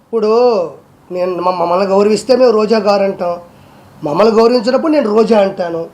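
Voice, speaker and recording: A man's voice: high voice, quick pace, darkish tone. A man delivering a heated rebuttal in a combative way, firing off one rhetorical challenge after another.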